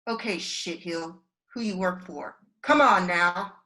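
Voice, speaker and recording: A woman's voice: on the low side, measured pace, pushed and bright. An American actress playing a bloodthirsty young outlaw woman in a Western radio drama, taunting and crude in folksy frontier phrasing. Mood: menacing, enraged.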